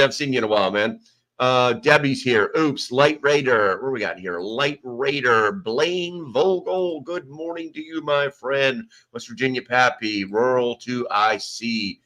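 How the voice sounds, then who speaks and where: A man's voice: medium pitch, fairly bright tone. An American man hosting a casual livestream, rambling as he reads names from the chat, speaking into a small microphone in a nearly bare room. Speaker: livestream host. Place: sparse, nearly empty room. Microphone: small desk microphone.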